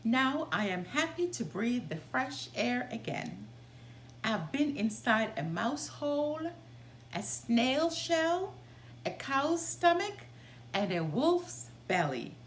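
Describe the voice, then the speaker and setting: A woman voicing a tiny, plucky, mischievous boy in a children's storybook read-aloud, excitable and calling out. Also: high voice, takes it slowly, a little hiss or hum, exaggerated voice. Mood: contented.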